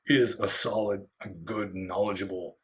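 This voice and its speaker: A Buddhist monk, a man who is slightly hoarse, answering viewer questions in an unscripted solo talk, rambling with many fillers and restarts and giving candid opinions. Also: on the low side, steady tone, unhurried, a little hiss or hum. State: getting hoarse.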